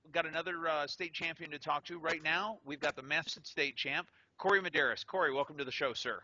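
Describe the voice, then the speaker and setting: Male show host, casual and upbeat, a slightly high and very bright voice, lo-fi sound. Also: fast talker. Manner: upbeat and joking, with many fillers. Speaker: show host interviewing a tournament winner. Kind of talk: casual interview. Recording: lo-fi recording.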